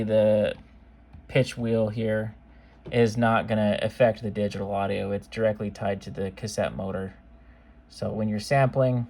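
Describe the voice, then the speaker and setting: A man's voice: lowish voice, dark tone. A man giving a casual product demonstration as a seller, talking in an informal, offhand, conversational way. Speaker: seller demonstrating modified cassette players.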